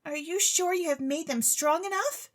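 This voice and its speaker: Woman putting on a high-pitched gushing young society lady. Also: moderate pace. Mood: anxious. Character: gushing, vain, wealthy young lady, stage-struck and dim-witted.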